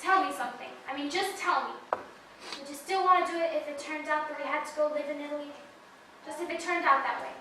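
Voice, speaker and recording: A woman's voice: sits high, fairly even intonation, noisy background, lightly acted. A woman playing an anxious, torn young woman. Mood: pleading. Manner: pleading, emotional, rushed when upset. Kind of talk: stage play acting. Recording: echoey room, voice from far off.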